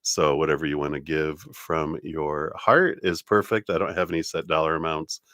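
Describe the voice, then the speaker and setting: A man hosting a live tarot reading stream, talking casually and gently to viewers with a congested, nasal voice and many fillers. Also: very deep voice, fairly even intonation, moderate pace, no room sound, studio quality. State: congested, nasal from a stuffy nose.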